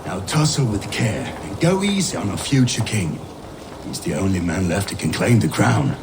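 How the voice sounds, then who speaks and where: A man playing a gruff Viking companion giving caution in a video game scene. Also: on the low side, wildly swooping pitch, normal speed, background noise. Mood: wry caution. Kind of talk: video game cutscene acting.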